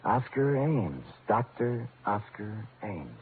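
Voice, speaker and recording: A man's voice: fairly low pitch, sing-song, takes it slowly, a little hiss or hum, full character voice. An actor playing a hard-boiled American private detective in vintage radio noir style, with a wry, clipped tough-guy delivery. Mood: concentrated. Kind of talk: 1940s-50s radio noir acting and first-person narration. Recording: narrow-band vintage radio recording, faint music.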